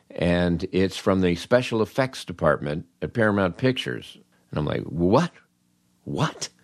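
An older American man hosting a podcast, telling humorous anecdotes in a conversational, wry and animated way. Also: deep voice, dry recording, studio quality. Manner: wry, animated, acts out dialogue in his stories. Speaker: podcast host.

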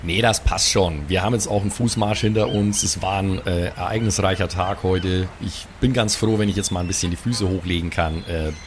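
A man's voice: sits low, fairly even intonation, brisk, hardly any pauses, noisy background. A man improvising his character in a tabletop role-playing game, talking in an enthusiastic, teasing way.

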